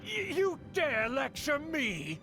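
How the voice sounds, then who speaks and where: A man's voice: extremely high pitch, huge pitch swings, takes it slowly, bright, forceful tone, exaggerated voice. An actor playing a pompous older aristocrat uncle, haughty and scornful, who scolds as he talks. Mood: indignant. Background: game music.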